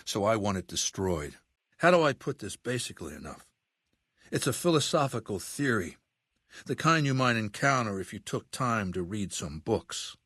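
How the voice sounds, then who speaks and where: An actor playing a ruthless, intellectual warlord dictator in a video game, speaking in a commanding, articulate and contemptuous way. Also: male voice, lowish voice, fairly bright tone, dry recording, clean studio sound. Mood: dismissive. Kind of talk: video game character acting.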